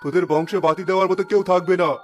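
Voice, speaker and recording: A man's voice: high-pitched, fairly even intonation, quick pace, soft background music, natural voice. A man voicing the vengeful ghost of a murdered man in a horror cartoon, menacing and commanding.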